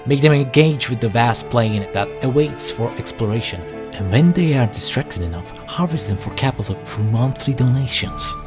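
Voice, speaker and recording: Animated man narrating a sarcastic comedic video. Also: mid-range voice, moderate pace, hardly any pauses, over music. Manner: sarcastic, self-deprecating, theatrical. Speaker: YouTube creator and host.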